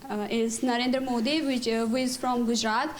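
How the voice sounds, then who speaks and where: High-voiced, hesitant woman from India. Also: level tone, fairly quick, slight background noise. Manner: hesitant, many fillers. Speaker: student presenter.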